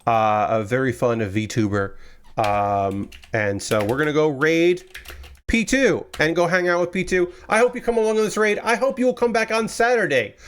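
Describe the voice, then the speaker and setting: A man streaming live from a small room at home, chatting casually and rambling while he builds, with a loud booming voice. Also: moderate pace, smooth. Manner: rambling, chatty, restarts often.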